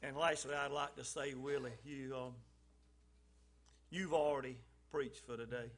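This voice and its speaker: A man's voice: mid-range voice, fairly even intonation, unhurried. A man speaking as a lay speaker at a church service. His delivery is folksy and conversational, with frequent ums and restarts, and he rises in emphasis on key phrases. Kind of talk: informal church message.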